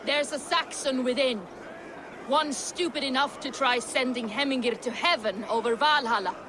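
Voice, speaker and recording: Actor as a high, furious Norse villager. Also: moderate pace, a crowd in the background, noisy background, lightly acted. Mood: furious, accusing. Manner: scornful, threatening. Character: furious, accusing Norse villager, loyal pagan zealot. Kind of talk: video game cutscene acting.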